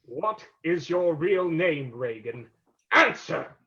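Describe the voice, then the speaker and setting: A man playing a menacing, mocking invisible crime fighter in an old-time radio drama, taunting deliberately, on a lo-fi recording. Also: fairly high pitch, sing-song, bright, forceful tone, full character voice. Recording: dull lo-fi recording.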